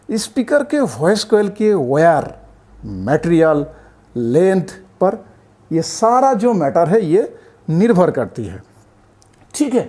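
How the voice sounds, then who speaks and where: A man's voice: high voice, mellow. A man presenting an explanatory tutorial in a didactic, emphatic way, repeating points and often checking that listeners follow. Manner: repetitive, checks in with frequent tag phrases.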